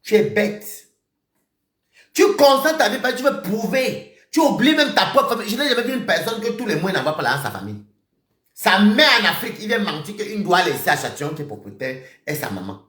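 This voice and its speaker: A man ranting on a live stream, accusing someone directly. He repeats himself and swings between shouting and muttering. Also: high voice, sing-song, a little fast, echoey room. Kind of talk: heated live-stream rant.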